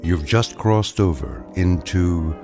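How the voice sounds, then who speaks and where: Deep, portentous mystery narrator. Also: male voice, over loud music. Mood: ominous, solemn. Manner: measured, portentous. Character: solemn, mysterious male anthology narrator. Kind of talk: scripted radio drama introduction.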